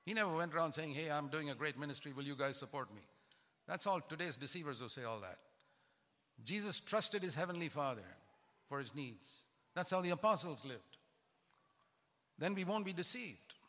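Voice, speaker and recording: A man's voice: on the high side, lively intonation, moderate pace, bright, forceful tone, long reverb tail. A man who is a pastor, preaching a sermon with emphatic, repetitive cadence.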